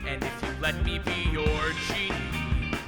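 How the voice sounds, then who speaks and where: An actor playing a confident, wealthy industrialist in a stage musical, persuading like a smooth salesman in song and speech. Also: on the high side, unhurried, echoey room, loud music behind the voice, lightly acted. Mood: eager, persuasive. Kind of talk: sung and spoken musical theatre.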